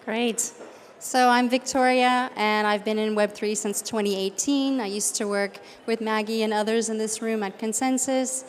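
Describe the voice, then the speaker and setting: A woman's voice: fairly high pitch, fairly even intonation, normal speed. A woman moderating a panel, addressing guests and audience as host. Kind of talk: hosting a panel. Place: summit event room. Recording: noisy reverberant event hall. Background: faint room noise.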